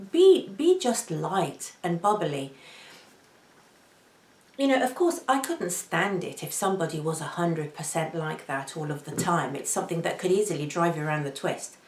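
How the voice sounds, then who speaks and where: A middle-aged woman speaks very softly and unhurriedly, with a gravelly edge to her fairly low voice. She talks to the camera from a seated spot in her living room, and the recording carries a noticeable room echo. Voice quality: very soft voice. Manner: speaks slowly and gently, gestures with both hands. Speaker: vlogger. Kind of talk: reflective personal talk to camera. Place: home living room, indoors. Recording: roomy echo.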